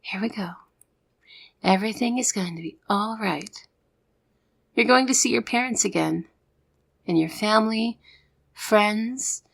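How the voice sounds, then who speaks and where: A young woman playing a soft-hearted, easily exasperated secret agent in an ASMR audio roleplay. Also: medium pitch, measured pace, gravelly, pauses often. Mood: tender, soothing.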